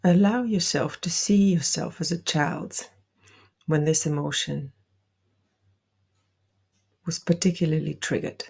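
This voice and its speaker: A woman leading a guided meditation, giving gentle, deliberate instructions with soft, breathy phrasing. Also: low-pitched.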